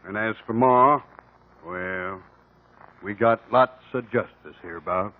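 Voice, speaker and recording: A man's voice: fairly low pitch, slow pace, very noisy. An actor playing an easygoing, folksy lawman with a rural American accent in a radio drama. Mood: grateful, conceding. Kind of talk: 1950s radio drama acting. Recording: muffled 1950 radio recording.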